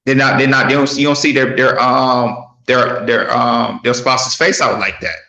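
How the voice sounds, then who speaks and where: A man on a podcast panel talking casually and haltingly, repeating words as he searches for phrasing. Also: mid-range voice, fairly even intonation, sharp tone, echoey room, highs cut off.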